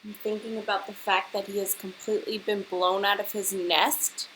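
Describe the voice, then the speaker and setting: A woman teacher reading a picture book aloud to children and pausing to prompt them with questions, with hiss on the recording. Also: mid-range voice, level tone, a bit bright, low-quality recording. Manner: instructional, pausing to prompt young listeners.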